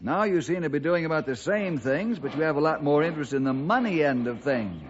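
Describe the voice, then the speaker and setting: An actor playing an earnest, upright forest ranger in an old radio drama, speaking in a measured, fatherly way. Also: on the high side, animated, a little hiss or hum, lightly acted. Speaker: ranger and lead character. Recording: dull, band-limited old radio recording.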